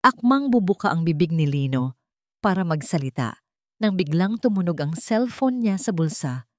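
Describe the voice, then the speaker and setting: A woman's voice: on the low side, sing-song, moderate pace, no room sound. A woman with a Filipino accent narrating a scripted dramatic story.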